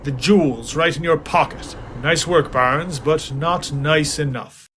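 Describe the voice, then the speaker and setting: A man's voice: on the high side, a little slow, noisy background, lightly acted. A man voicing a cool-headed male police inspector who solves a killing aboard a train. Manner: calm, matter-of-fact.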